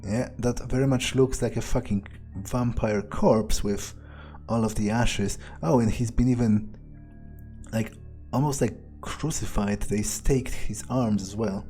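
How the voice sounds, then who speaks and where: A man's voice: lowish voice, unhurried, dark tone. A man roleplaying through a video game in character, thinking aloud in a sardonic way with plenty of swearing. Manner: sardonic, muttering, heavy swearing. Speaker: gameplay streamer roleplaying in character. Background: game music.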